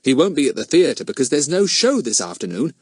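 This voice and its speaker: A man's voice: mid-range voice, very animated, a little fast, slightly rough, dry recording, clean studio sound, pitched above the performer's own voice. Man doing an eager, earnest schoolboy sidekick.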